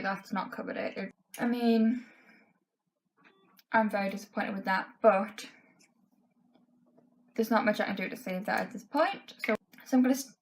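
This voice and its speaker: A woman's voice: mid-range voice, low-quality recording. A young woman in her twenties with a voice that is going, chatting casually through a makeup tutorial in her bedroom, full of "um" and "like". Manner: chatty, frank, many fillers like "um" and "like". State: losing her voice. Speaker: beauty vlogger. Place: bedroom, indoors.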